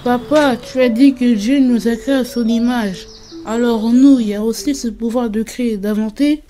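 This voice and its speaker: Mellow woman voicing an earnest cartoon child. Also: a little high, moderate pace, slight background noise, close to an everyday voice. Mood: earnest, confident. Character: ambitious, curious child addressing father.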